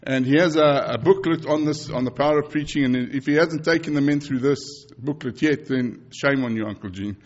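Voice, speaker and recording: A South African man in his fifties, Afrikaans his first tongue, preaches with earnest repetition and the odd restart. His voice sits at a middling pitch for a man. The recording is dull and slightly lo-fi. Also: fairly quick, hardly any pauses. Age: 50s. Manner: earnest, repeats phrases, frequent restarts. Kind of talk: sermon and mission report.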